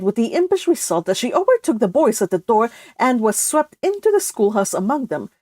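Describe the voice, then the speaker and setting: A woman reading a classic novel aloud as expressive scripted storybook narration. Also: mid-range voice, no room sound. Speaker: audiobook narrator.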